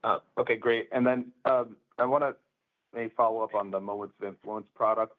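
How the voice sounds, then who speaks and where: A man's voice: fairly low pitch, steady tone, a little fast. A man speaking as an analyst, putting casual, loosely phrased and somewhat hesitant questions on an earnings call. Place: phone call. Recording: narrow tinny phone line.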